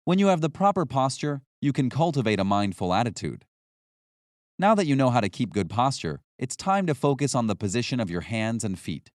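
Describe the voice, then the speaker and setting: A man narrates a self-help style nonfiction audiobook from a script. His mid-pitched voice moves up and down in a lively way, while the phrasing stays measured and instructional. The sound is dry, with no room around it. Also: dry recording.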